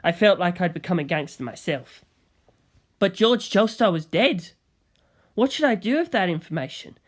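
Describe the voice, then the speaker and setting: A woman's voice: lowish voice, animated, normal speed. A woman narrating first-person fiction in a dramatic audiobook reading style.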